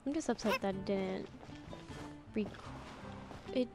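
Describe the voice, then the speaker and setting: A woman giving casual, halting, exasperated commentary as host of a gaming video. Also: medium pitch. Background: video game music.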